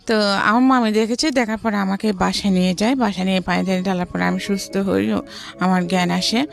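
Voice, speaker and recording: A woman's voice: medium pitch, steady tone, fairly quick, creaky, soft background music. A woman with a Bangladeshi accent telling her personal story as an interview guest, often relaying what others said.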